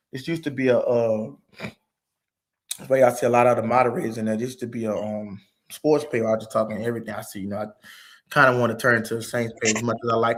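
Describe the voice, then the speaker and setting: A man rambles through a relaxed, profane livestream chat. His talk is loose and slangy, picked up by a laptop mic at home. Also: mid-range voice. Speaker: stream host. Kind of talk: casual late-night livestream chat.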